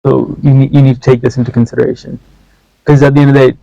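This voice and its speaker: Flat-voiced man giving measured opinions. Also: mid-range voice, creaky, no room sound, highs cut off. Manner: measured, reasoning step by step, says "like" often. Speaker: podcast guest. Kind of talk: casual book discussion.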